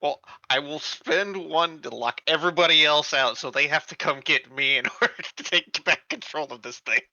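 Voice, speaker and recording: A man's voice: on the high side, moderate pace, pushed and bright, no room sound, dull audio. A man chatting casually as a player in a tabletop game, with a flippant, offhand manner.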